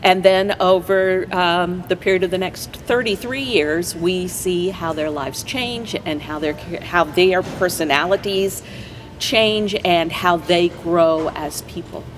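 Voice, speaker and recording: A woman's voice: on the low side, slow pace. An American woman speaking conversationally as a guest in a casual interview. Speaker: interview guest, play director. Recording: faint background noise.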